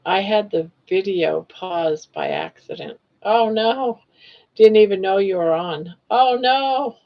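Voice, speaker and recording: A woman's voice: medium pitch, big pitch swings, slow pace, lo-fi sound. A woman in her sixties hosting a casual live stream, chatting and joking and talking sweetly to her pets. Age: 60s. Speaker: livestream host.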